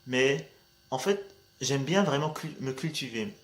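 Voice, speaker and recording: Un jeune homme à l'marocain, dont l'arabe est la langue maternelle, qui parle en vlog motivant et décontracté, hésite souvent et répète « voilà ». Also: male voice, mid-range voice, animated, unhurried. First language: Arabic. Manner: hesitant, restarts often, says "voilà" a lot, laughs between points. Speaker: vlogger.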